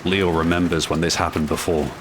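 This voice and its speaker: Deep-voiced male narrator, noisy backdrop. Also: flat delivery. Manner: measured, descriptive storyteller. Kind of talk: scripted gothic drama narration.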